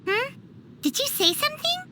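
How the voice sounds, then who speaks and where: A woman voicing a tiny, childlike, cheerful and greedy fairy companion in a video game, squeaky and exaggeratedly cutesy. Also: sing-song, normal speed, sharp tone, a little hiss or hum, heavily acted. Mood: teasing, cheerful. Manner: refers to self in third person, exaggerated cutesy delivery.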